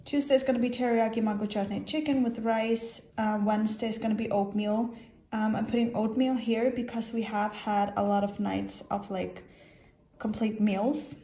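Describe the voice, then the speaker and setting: A woman's voice: on the high side, steady tone, moderate pace, mellow. A woman vlogger chatting casually with many fillers, explaining her weekly grocery plan at home.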